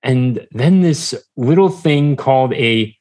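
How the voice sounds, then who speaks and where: A man speaking as a guest in a casual interview, hesitant and halting as he searches for his words. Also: medium pitch, lively intonation, darkish tone. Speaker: podcast guest, entrepreneur.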